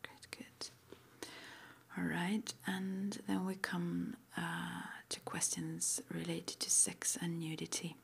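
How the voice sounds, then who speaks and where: A woman playing a calm, gentle witch school interviewer in an ASMR roleplay, whispering softly and reassuringly without hurry. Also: on the low side, vocal fry, breathy edge, exaggerated voice. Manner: soft, unhurried, reassuring, with murmured acknowledgements.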